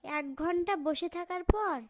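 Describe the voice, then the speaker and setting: A woman's voice: extremely high pitch, slow. A woman narrating a scripted children's cartoon story.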